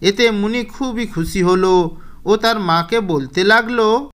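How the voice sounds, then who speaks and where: Casting: female narrator for children's tales, smooth clear voice, middle pitch. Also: slow. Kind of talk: scripted children's story narration.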